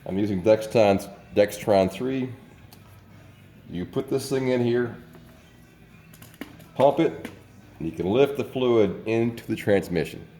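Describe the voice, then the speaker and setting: A man's voice: fairly low pitch, warm tone. A man working as a mechanic, explaining a repair step by step while congested from a slight cold. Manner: plain, unhurried how-to explaining. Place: auto repair shop.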